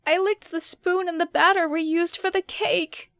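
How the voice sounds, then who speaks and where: A woman's voice: extremely high pitch, steady tone, normal speed, a bit bright, no echo, clean studio sound. A woman voicing an earnest, innocent and eager young princess in a fan cartoon dub. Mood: pained. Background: music.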